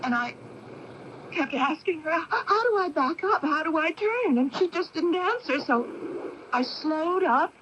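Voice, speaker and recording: An actress playing an earnest, troubled young woman in a 1980s radio drama, speaking in a measured, deliberate way. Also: extremely high pitch, animated, fairly bright tone, highs cut off, full character voice. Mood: anguished, recounting. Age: young adult. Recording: noisy 1980s AM radio broadcast.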